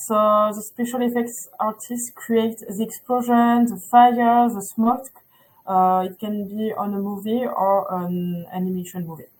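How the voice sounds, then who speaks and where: Halting woman presenting a school webinar. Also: mid-range voice, mellow, low-quality recording. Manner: halting speech with many "uh" and "okay?" checks. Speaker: school representative.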